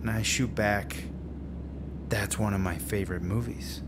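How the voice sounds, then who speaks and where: An actor playing a laid-back slacker screenwriter in telesales, rambling and slangy in a radio drama. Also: male voice, lowish voice, fairly even intonation, unhurried, heavy background noise, close to an everyday voice. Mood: animated storytelling. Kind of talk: naturalistic radio drama acting. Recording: music under the dialogue.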